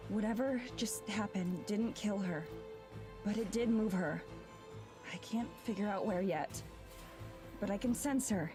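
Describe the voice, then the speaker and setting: A woman voicing an earnest, steady young woman with a psychic sense in a visual novel game. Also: medium pitch, unhurried, long pauses, natural voice. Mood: earnest, certain. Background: game music.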